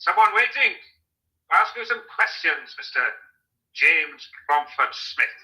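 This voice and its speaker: A man's voice: fairly high pitch, animated, slow, bright, forceful tone, exaggerated voice, rough recording. An actor in a radio drama playing a blunt, menacing local man who leads a gang, terse and taunting.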